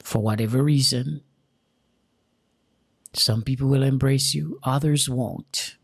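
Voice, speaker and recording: A Jamaican-accented man from Jamaica giving an earnest, deliberate motivational talk straight to his viewers, repeating key lines for emphasis. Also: mid-range voice, creaky, slightly rough, dry recording. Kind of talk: motivational direct-to-camera talk.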